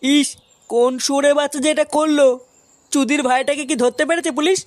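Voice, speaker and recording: A man's voice: extremely high pitch, fairly even intonation, brisk, no echo. A man voicing a boastful, hot-headed young village muscleman in a comedy cartoon, crude and swaggering. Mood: angry. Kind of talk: foul-mouthed comedy cartoon dialogue.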